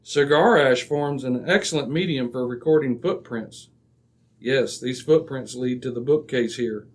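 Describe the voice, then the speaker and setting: A man playing a commanding, impatient detective in an old-time radio drama, crisp and didactic. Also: mid-range voice, unhurried, close to an everyday voice. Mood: assured, deductive. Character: commanding, razor-sharp, impatient male detective.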